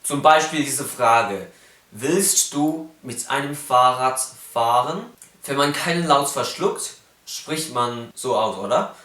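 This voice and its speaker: Slow careful man with a Cantonese accent. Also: mid-range voice, pushed and bright, reverberant. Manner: slow, careful, demonstrates sounds precisely. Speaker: YouTuber explaining phonetics. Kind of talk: casual educational vlog.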